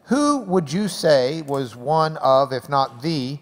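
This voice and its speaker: A man hosting a class interview, joking and drawing points out slowly. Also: fairly high pitch, animated. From Texas. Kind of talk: teaching interview before a class. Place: church classroom or hall. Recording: long reverb of a hall.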